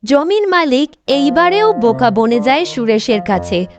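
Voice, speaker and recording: A woman's voice: sits high, a little slow, over music. A woman narrating a scripted children's story as the storyteller.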